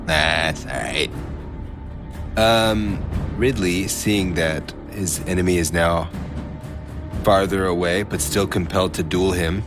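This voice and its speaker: A man's voice: deep voice, slow, long pauses, music in the background. A man playing a tabletop game, casually narrating his character's moves as he thinks aloud.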